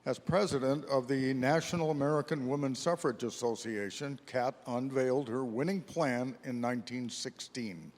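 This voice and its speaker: A man's voice: medium pitch, normal speed, hardly any pauses, darkish tone. A man reads scripted historical narration for a readers theater piece in a reverberant hall. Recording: echoey hall with long reverb.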